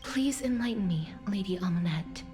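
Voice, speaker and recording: An actress playing a solemn young maiden in a fantasy game, with a soft, breathy, half-whispered voice and formal, earnest delivery. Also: female voice, medium pitch, lively intonation, normal speed, faint music behind, natural voice. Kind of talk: scripted fantasy game dialogue.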